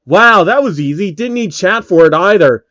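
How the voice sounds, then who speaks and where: A man's voice: high-pitched, lively intonation, moderate pace, fairly bright tone, no room sound, studio quality, voice pushed up. A man voicing a cocky, hot-tempered male game streamer from a story, whining and complaining indignantly.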